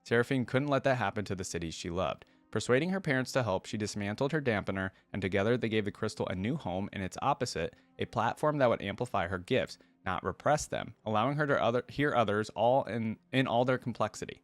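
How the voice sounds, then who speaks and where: A man's voice: lowish voice, expressive, quick pace, few pauses. A man hosting a reaction video, reading game lore aloud and adding casual commentary of his own.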